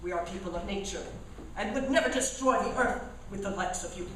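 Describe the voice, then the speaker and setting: A woman's voice: mid-range voice, a little fast, lightly acted. A woman playing a proud, solemn frontier healer who speaks in stilted formal phrases in a comic Western radio play. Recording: echoey hall, distant mic, faint noise.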